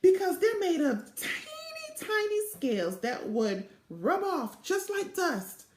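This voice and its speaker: A woman's voice: extremely high pitch, sing-song, slow pace, darkish tone, roomy sound, a little hiss or hum, pitched above the performer's own voice, heavily acted. Woman reading as an eager, proud little storybook girl. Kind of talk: children's storybook character reading.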